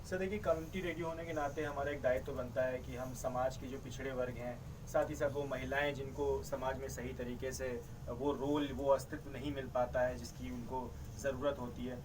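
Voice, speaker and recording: A man's voice: medium pitch, fairly even intonation, normal speed, hardly any pauses. A man who heads programming at a radio station politely explains its work in an interview, calling the interviewer sir. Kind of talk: interview answers. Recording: distant mic with noisy background.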